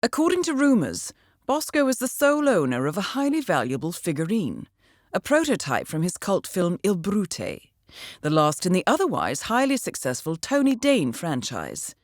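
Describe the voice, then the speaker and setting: A woman playing a composed, dry-witted intelligence handler in a video game, speaking in a measured, formal, understated way as she briefs an assassin. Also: lowish voice, huge pitch swings, no room sound. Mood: cool, observant. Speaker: mission handler. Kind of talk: scripted video game voice acting, mission briefing.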